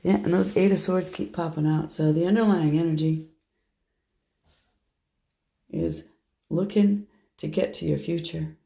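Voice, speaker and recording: A hoarse woman chatting through a tarot card reading, soft and meandering as she shuffles and lays out cards. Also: deep voice, fairly even intonation, a little slow, long pauses. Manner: soft, meandering, half to herself while handling cards. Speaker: tarot reader. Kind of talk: casual tarot reading monologue.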